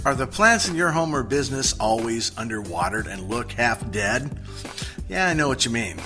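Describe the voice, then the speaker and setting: A man delivering a scripted radio commercial as an announcer, in a chummy, upbeat sales-pitch manner. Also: sing-song, moderate pace, music in the background. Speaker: advertisement announcer.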